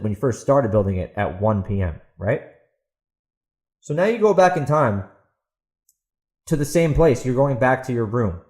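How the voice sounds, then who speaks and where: Male podcast host, medium pitch, casual and opinionated, emphatic conversational rant. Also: darkish tone.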